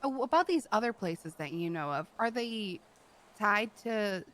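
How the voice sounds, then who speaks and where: A woman's voice: medium pitch, expressive, measured pace, fairly bright tone. A woman improvising casual roleplay chat with emphatic, playful storytelling. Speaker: roleplay game player.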